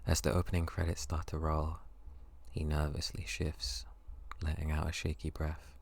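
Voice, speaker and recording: A man narrates an intimate second-person ASMR story from a script. His voice is extremely low, with a heavy creak running through it. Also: completely flat delivery, moderate pace, darkish tone.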